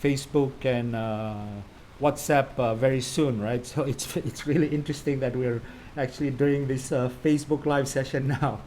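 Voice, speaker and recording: A man's voice: medium pitch, steady tone, moderate pace, a little mellow, slight background noise. A man hosting a live online interview, asking long, rambling questions full of "you know". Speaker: host of a live interview series.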